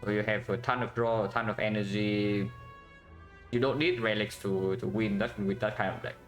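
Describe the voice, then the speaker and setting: A man's voice: fairly low pitch, fairly even intonation, moderate pace, soft background music. A man with a Vietnamese accent streaming a card game, thinking aloud and chatting with viewers, often ending with "yeah?". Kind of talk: casual live-stream commentary.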